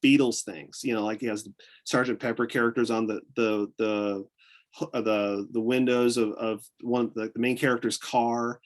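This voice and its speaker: A man's voice: medium pitch, steady tone, normal speed. Rambling, eager American man with mixed accent. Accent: mixed Southern and Northern US. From upstate New York. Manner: enthusiastic, rambling with many restarts and fillers. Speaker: guest, photographer. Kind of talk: casual interview chat.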